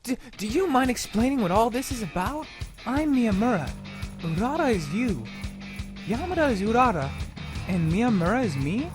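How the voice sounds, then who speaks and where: A woman's voice: fairly high pitch, big pitch swings, moderate pace. A woman playing an easygoing, mildly resigned teenage student in an anime dub. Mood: puzzled. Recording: music behind the voice.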